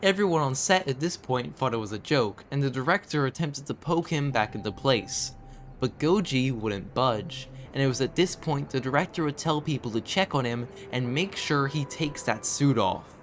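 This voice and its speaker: A man reading scripted commentary in a video essay, with measured storytelling broken by conversational asides. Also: mid-range voice, animated, slight background noise. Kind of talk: scripted narration, horror series commentary. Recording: background music under voice. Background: background music.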